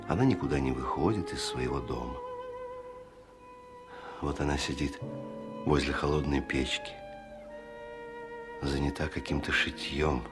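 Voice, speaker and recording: A man narrating a 1970s Soviet radio drama in a measured, literary storytelling manner. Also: extremely low pitch. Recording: loud music under the voice.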